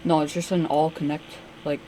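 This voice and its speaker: A man's voice: on the high side, little pitch movement, normal speed, a crowd in the background, low-quality recording. A man chatting casually while he plays a game, giving brief, offhand replies. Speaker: co-host playing a game. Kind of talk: casual chat.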